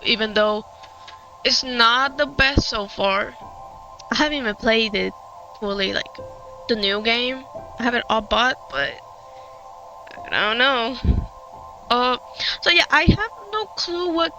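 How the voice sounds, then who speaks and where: A woman's voice: on the high side, bright, forceful tone, lo-fi sound. A young woman from Puerto Rico with a Puerto Rican Spanish accent, a native Spanish speaker, rambling casually through game commentary with lots of fillers. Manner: rambling, many fillers and restarts. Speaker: game streamer. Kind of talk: casual let's-play commentary. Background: game music.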